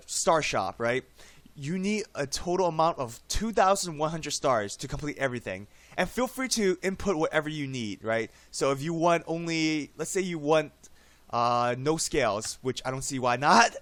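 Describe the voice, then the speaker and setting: Man with a cold-roughened voice. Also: a little high, fairly bright tone, dry recording. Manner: chatty, frequent "right?" tag questions. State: slightly hoarse from a cold. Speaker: game guide YouTuber. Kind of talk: casual screen-recorded tutorial.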